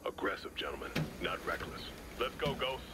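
A man's voice: medium pitch, very animated, normal speed, heavy background noise, natural voice. A man acting a firm special forces squad leader in a military shooter game, giving clipped orders. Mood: firm, controlled. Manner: clipped orders and radio jargon. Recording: game dialogue under loud vehicle ambience.

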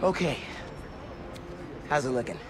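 An actor playing an earnest, unsure teenage superhero with an American accent, eager and modest, in a video game cutscene. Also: male voice, mid-range voice, lively intonation, unhurried, noisy background, close to an everyday voice. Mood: playful.

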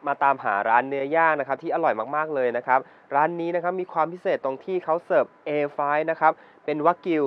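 A man's voice: medium pitch, highs cut off. A man hosting a food show, presenting politely and chatting about the food. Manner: polite, ends phrases with formal particles. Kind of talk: casual food chat and presenting to camera.

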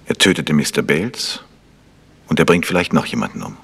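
An actor playing a calm, polite gentleman investigator in a German radio crime drama, asking insistent questions. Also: extremely low pitch, a little fast, vocal fry, slightly rough, heavily acted. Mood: earnest, insistent.

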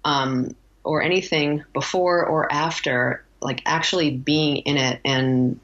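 A woman with a very low voice, chatting casually as an interview guest. She rambles reflectively and often breaks off her own sentences. The recording is a bit dull and lo-fi. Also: fairly even intonation, takes it slowly, fairly bright tone.

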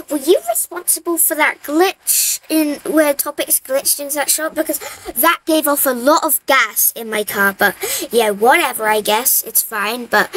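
A woman playing an excitable, skeptical young hero in an amateur cartoon, chatty and exclamatory. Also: high-pitched, rough recording. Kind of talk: amateur animated series acting.

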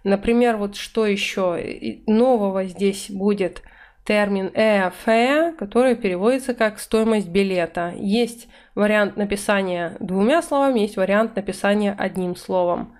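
A woman's voice: mid-range voice, a little mellow. Woman teacher explaining vocabulary. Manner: explains in Russian, reads English phrases slowly. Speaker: English teacher. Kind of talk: online vocabulary lesson. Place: indoor room, webcam in corner of slides.

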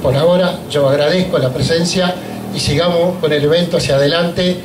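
A man's voice: on the high side, fairly even intonation. A middle-aged Argentine man, a union leader, giving a grateful, emotional speech at an inauguration into a handheld mic in a large hall. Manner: emotional, grateful, halting phrasing. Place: large event hall, indoors. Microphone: handheld microphone on a stand. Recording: echoey hall with faint noise.

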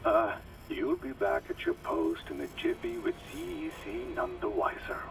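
An actor playing a scheming doctor dictating an audio log in a video game, clinical and falsely jovial. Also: male voice, fairly low pitch, huge pitch swings, normal speed. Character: smooth-talking, scheming doctor dictating experiment logs. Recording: distant noisy radio-like audio log.

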